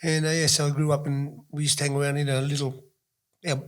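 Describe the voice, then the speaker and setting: A man hosting a casual talk show. He talks at a rapid pace in a somewhat high voice and tells excitable, jokey anecdotes. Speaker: host. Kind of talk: casual chat.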